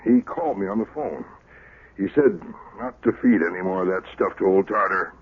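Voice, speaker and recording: Very husky, sly prankster. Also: male voice, deep voice, moderate pace. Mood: mock solemn. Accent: American. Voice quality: very husky, rough. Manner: folksy, mischievous. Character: sly, gleeful small-town prankster spinning tall tales. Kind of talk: radio drama acting. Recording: muffled lo-fi old radio broadcast.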